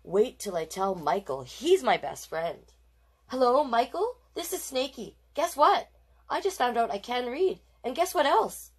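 A woman's voice: a little high, sing-song, unhurried, breathy edge, voice pushed up, full character voice. A woman voicing an earnest, proud young schoolboy who exclaims eagerly in a children's storybook. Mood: excited, proud.

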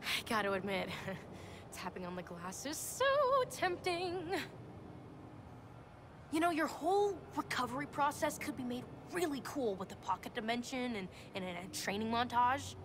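A woman's voice: on the high side, expressive, unhurried, background noise. An actress playing a wry, casual teenage girl antihero in a video game.

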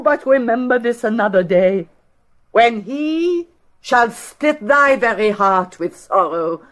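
An actress playing a bitter old deposed queen, declaiming ominous prophecies and curses in Shakespearean drama. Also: a little high. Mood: bitter cursing. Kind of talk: theatrical Shakespearean stage acting.

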